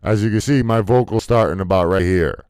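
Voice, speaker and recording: A man with a deep voice, talking loosely through a music mixing tutorial with fillers and casual swearing. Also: fairly quick, a little mellow, smooth, no echo. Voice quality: deep voice, muffled by a faulty mic input. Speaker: music producer teaching mixing. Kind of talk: casual screen-recorded tutorial. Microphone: desktop mic into recording software.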